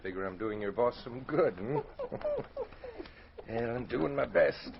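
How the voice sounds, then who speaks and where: Radio western outlaw: folksy, wary but decent, lively mid-range voice, dull old recording. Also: male voice, mid-range voice, a little slow, vocal fry, noisy background. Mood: wry, reassuring. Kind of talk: 1940s radio acting.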